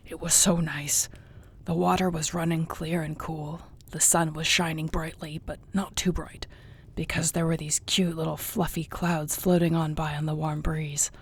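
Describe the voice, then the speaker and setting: A woman playing a battered, tough but affectionate orc girlfriend in an intimate fantasy audio roleplay, with a husky, gravelly voice and a wry, wearily understated way of talking. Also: deep voice, flat delivery, moderate pace. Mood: fond storytelling. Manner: sighing, conversational, wearily understated.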